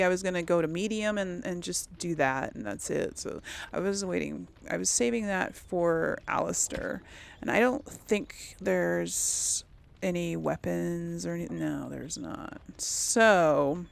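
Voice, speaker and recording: A woman's voice: on the low side, vocal fry, clean tone. A woman giving casual video game commentary, conversational and musing as she thinks aloud and talks herself through choices. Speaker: let's play host.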